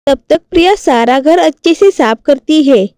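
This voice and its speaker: A woman's voice: sits high, expressive, normal speed, a little mellow, dry recording, studio quality. A woman reading scripted narration for a cartoon family story.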